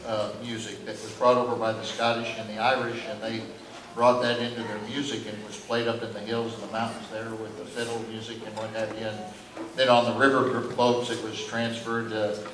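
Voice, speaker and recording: A man's voice: on the low side, little pitch movement, fairly quick. A man who plays banjo, talking casually on stage as an interview guest and explaining things informally. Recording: echoey hall, distant noisy microphone.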